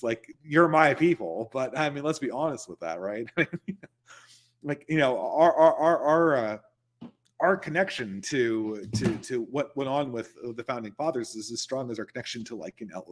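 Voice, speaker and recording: A man hosting a casual livestream, answering viewer questions in loose, conversational talk and chuckling between points. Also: medium pitch.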